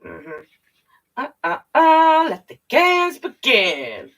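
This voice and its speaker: A woman's voice: high voice, wildly swooping pitch, slow, fairly bright tone. A woman reading a children's picture book aloud expressively, with chatty asides straight to young listeners. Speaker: children's storyteller.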